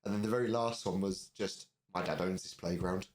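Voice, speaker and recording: A man with a low voice co-hosts a podcast in a relaxed chat style. He talks conversationally, with some hesitation as he goes. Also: a little fast. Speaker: podcast co-host. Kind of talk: casual chat.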